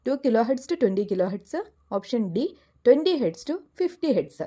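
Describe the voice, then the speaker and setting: A woman with a Malayali accent working through a scripted quiz lesson as an exam tutor, reading items out in a deliberate, enunciated way. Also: fairly high pitch, mellow.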